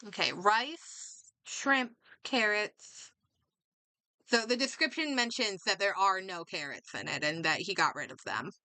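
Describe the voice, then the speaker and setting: A woman's voice: medium pitch, expressive, unhurried, sharp tone, dry recording. A woman livestreamer chatting casually as she games, reacting and thinking aloud, often doubling back on her words. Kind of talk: casual chat while gaming, reacting aloud.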